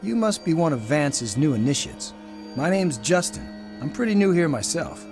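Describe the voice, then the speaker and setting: An actor playing a friendly new member of an underground sect in a video game, with a casual, open manner. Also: male voice, on the high side, very animated, moderate pace. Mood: friendly, chatty. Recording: music behind the voice.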